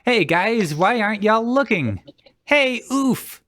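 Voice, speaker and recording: A man puts on a naive little snowman for a storybook parody. The voice is pitched well above normal, excitable and whiny, drawn out slowly. It is recorded dry. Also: no room sound, exaggerated voice. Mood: giddy, whiny. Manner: high, whiny, excited calling. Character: cheerful childlike naive male talking snowman.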